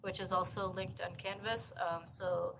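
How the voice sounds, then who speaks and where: A woman giving course announcements as an instructor in a seminar room, speaking in a halting, hesitant way. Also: fairly low pitch, flat delivery, gravelly. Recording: noisy room recording.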